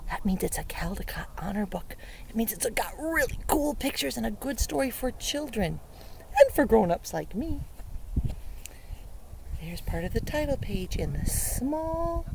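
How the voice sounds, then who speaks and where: A woman's voice: mid-range voice, vocal fry, dark tone, slight background noise, poor audio. A woman reading a picture book aloud to young children outdoors, speaking playfully with wonder and asking the listener simple questions. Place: outdoors beside a lake.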